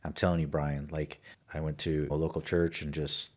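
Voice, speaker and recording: A man's voice: a bass voice, level tone, moderate pace, bright, forceful tone. A middle-aged American man hosting a casual podcast conversation, speaking in an earnest, personal and informal way. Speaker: podcast host.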